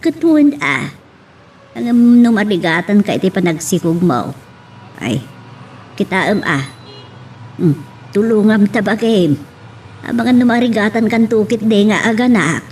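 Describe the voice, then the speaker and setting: A woman acting an elderly, caring grandmother who gently nags and advises, in an Ilocano-accented family radio drama. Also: medium pitch, expressive, measured pace, pauses often, a little hiss or hum, close to an everyday voice. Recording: distant mic with faint music behind.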